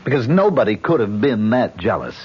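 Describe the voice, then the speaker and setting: A man's voice: on the low side, very animated, a little fast, husky, exaggerated voice. An actor playing a haunted male actor who is defensive and exasperated, in a radio drama. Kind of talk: 1970s radio drama acting. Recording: lo-fi old radio broadcast.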